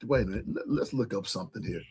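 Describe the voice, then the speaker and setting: A man's voice: fairly low pitch, racing, darkish tone, slightly rough. A man co-hosting casual sports talk, starting his phrases hesitantly and repeating their openings. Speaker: sports talk show co-host. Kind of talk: casual live sports chat.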